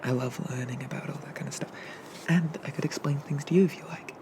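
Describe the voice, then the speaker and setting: A man voicing a shy, friendly sea dragon barista in a soft-spoken ASMR roleplay, rambling and hesitant as he eagerly explains things. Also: medium pitch, fast, noisy background, close to an everyday voice. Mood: eager, gentle.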